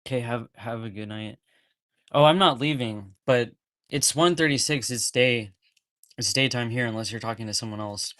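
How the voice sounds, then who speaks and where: A man's voice: medium pitch, normal speed, a bit bright, no echo, studio quality. A man from California chatting casually on a livestream, rambling and breaking into nervous laughs. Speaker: streamer.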